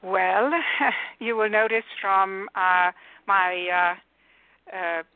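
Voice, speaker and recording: A woman's voice: medium pitch, steady tone, very slow, smooth, dry recording. Romanian-accented woman over a phone line. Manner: articulate, many fillers and restarts. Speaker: guest, executive coach. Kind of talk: radio interview answers. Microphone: telephone.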